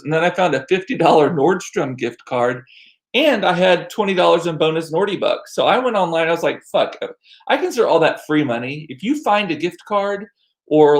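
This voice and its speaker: A middle-aged American man chatting casually as a livestream host, rambling along with self-deprecating asides and animated, repeated words for emphasis. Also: a little high. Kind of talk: casual chat to live viewers. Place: small home room used as studio.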